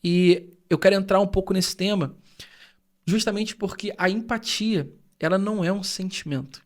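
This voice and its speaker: Earnest high-voiced man. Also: normal speed. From Rio de Janeiro state, Brazil. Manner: earnest, thoughtful, explains at length. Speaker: podcast co-host. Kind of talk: reflective podcast conversation.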